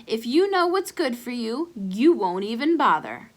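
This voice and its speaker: A woman's voice: a little high, very animated, unhurried. A woman voicing a sneering, threatening boy bully who brags and taunts in a children's storybook. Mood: menacing, boastful. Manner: taunting, jeering. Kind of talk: children's storybook read-aloud character.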